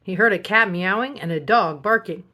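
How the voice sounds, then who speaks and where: A woman reading a picture book aloud as a storyteller, in an expressive children's narration style. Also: medium pitch, moderate pace. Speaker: storybook reader.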